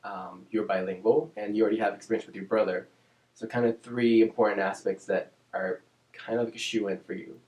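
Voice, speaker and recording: A man who grew up in Oakland, California, speaking from a deep, low register. His first language is Spanish, and he talks in a measured, reflective way, searching for words with ums and restarts. The recording sounds roomy, with a noticeable echo around him. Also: flat delivery, a little mellow. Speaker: interview guest, speech-language pathologist. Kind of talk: reflective casual interview.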